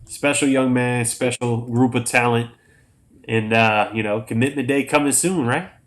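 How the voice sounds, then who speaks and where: An American man hosts a casual sports livestream. His voice sits at an average pitch and has a crackly edge. He talks in a relaxed, conversational way, like a host among friends. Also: normal speed. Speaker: host of a live sports show.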